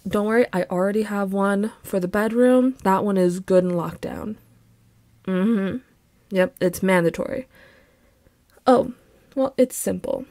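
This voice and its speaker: A woman playing an affectionate, playful, excitable young girlfriend in an intimate roleplay audio, with a bubbly, teasing and pleading manner. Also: a little high, no room sound. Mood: excited, playful.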